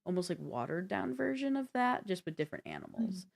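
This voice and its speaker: A woman co-hosting a show in casual chat, with a halting manner full of "like". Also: mid-range voice, big pitch swings, gravelly. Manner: halting, uses "like" often. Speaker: show co-host.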